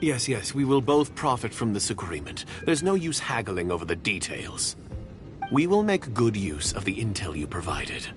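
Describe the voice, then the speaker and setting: Secretive game villain, low voice with wide swings, measured, cautious, scornful, music underneath. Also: male voice, very animated. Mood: cold, guarded. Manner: measured, disdainful. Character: cold, cautious, secretive male foreign agent villain. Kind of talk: video game voice acting. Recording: game dialogue with music behind.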